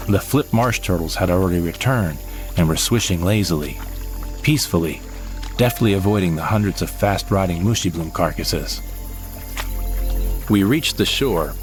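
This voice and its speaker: A man voicing a male sidekick who narrates his own space adventure in a measured storytelling way. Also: deep voice, animated. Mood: wry. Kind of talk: scripted audio drama narration. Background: background music.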